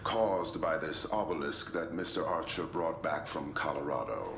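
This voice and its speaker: An actor playing a cold, clinical doctor who questions his patient in a measured, accusatory way, in a video game cutscene. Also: extremely low pitch, gravelly, a bit bright, a little hiss or hum, heavily acted. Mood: probing. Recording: roomy echo with music behind.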